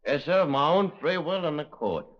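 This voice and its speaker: A man acts a simple young London petty crook in a fifties radio play. He is hesitant, slow on the uptake and very polite, with a fairly high voice. The recording is dull and old. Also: animated, lightly acted. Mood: slow, cautious. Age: young adult. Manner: slow-witted, hesitant, polite working-class speech. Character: simple-minded, deferential young London spiv under questioning. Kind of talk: 1950s radio drama acting.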